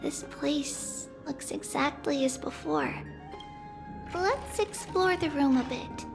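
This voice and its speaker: An actress playing a gentle, wistful young-sounding demigod priestess in a video game, telling things in a measured, reflective way. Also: female voice, sits high, gravelly, over music, exaggerated voice. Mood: calm, nostalgic. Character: childlike female high priest, gentle, wistful, nostalgic demigod. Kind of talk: scripted video game dialogue.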